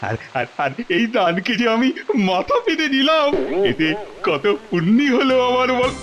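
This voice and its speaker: A man playing a silly, stubborn, ageing king in a kids' cartoon, theatrical and repeating his words in excitement. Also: extremely high pitch, normal speed, dull audio, music in the background. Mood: grandly delighted. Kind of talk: Bengali kids' cartoon voice acting.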